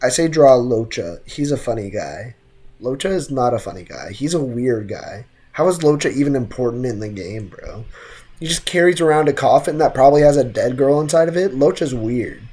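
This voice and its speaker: Game streamer, medium pitch, casual live commentary, slangy, joking asides. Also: animated, moderate pace, dark tone. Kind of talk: casual livestream commentary, reading chat.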